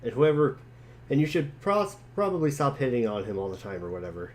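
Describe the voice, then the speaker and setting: A man playing an excitable, goofy, teasing boy in a dramatic webcomic reading, with a cheeky, animated delivery. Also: mid-range voice, fairly quick, lightly acted. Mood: cheeky, defensive.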